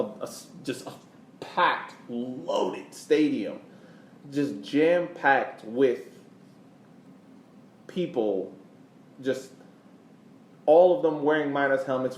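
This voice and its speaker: A man co-hosting a casual sports podcast, explaining things in a halting way, often repeating words as he goes. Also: medium pitch, a little mellow. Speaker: podcast co-host. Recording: roomy echo, clear background noise.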